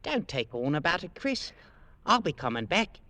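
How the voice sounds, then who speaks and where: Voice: mid-range voice, sing-song, normal speed, pushed and bright, no echo. A performer playing a plucky, cocky young British army drummer boy in an old-time radio drama, earnest and colloquial. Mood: tender, brave. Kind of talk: 1940s-50s radio drama acting.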